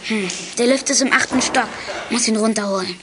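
A woman's voice: fairly high pitch, a little fast, very noisy, close to an everyday voice. A woman playing a daring young child detective in a children's audio drama, speaking like someone on a secret mission. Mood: tense, muttering.

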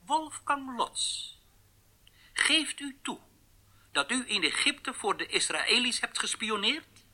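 A man's voice: very high voice, big pitch swings, takes it slowly, pushed and bright, heavily acted. An actor playing a pompous male state television interrogator in a radio drama, declaiming solemn accusations. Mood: accusing. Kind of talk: propaganda broadcast announcing in radio drama.

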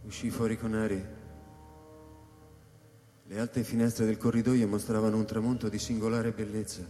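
An actor playing a reflective male scientist who narrates a science-fiction radio drama in measured, deliberate phrases. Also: on the low side, flat delivery, dark tone, lightly acted. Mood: reflective, subdued. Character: reflective male scientist recounting life on space station. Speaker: actor narrating as the story's protagonist. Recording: echoey hall reverb under live electronic music.

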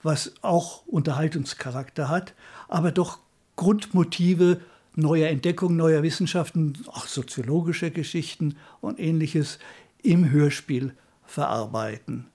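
A man's voice: a little high, a little slow, mellow, breathy edge. An elderly man reminiscing conversationally in an interview, speaking as a former radio director. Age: 70s or older. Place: at home in an apartment.

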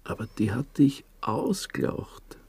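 An actor playing a menacing, taunting police inspector in a radio drama, speaking with an Austrian accent in an insinuating, domineering way. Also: male voice, mid-range voice, expressive, a little slow, darkish tone, husky, no room sound, exaggerated voice. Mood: goading, cruel.

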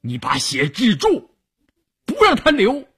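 Fierce military commissar, acted by a male reader: raised, raspy voice, threatening, insistent, commanding. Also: sits high, wildly swooping pitch, a little slow, fairly bright tone, voice pushed up, exaggerated voice. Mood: fierce insistence. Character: fierce male army political commissar demanding a life be saved.

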